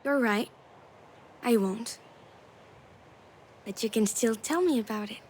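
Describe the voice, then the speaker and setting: High-pitched, curious little child. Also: female voice, slow pace, a little mellow, slight background noise. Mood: cheeky, wry. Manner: inquisitive, wry. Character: curious, cheeky little child talking with mother. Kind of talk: dramatic video game dialogue.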